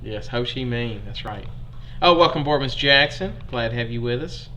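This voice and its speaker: A man's voice: medium pitch, expressive, normal speed, bright, forceful tone, lo-fi sound, slight background noise. A young man with an Alabama accent teaching a history lesson in a chatty, folksy way to his students.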